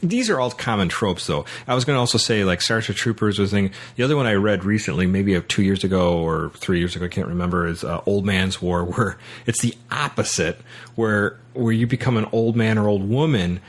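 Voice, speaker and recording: Low-pitched man, casual podcast talk. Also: brisk. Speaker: podcast host. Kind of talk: casual film and book discussion.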